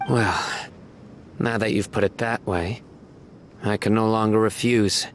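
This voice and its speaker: An actor voicing a stern, principled, disciplined general of enforcers in a video game, formal and measured. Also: male voice, lowish voice, steady tone, a little hiss or hum, close to an everyday voice. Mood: grave, measured.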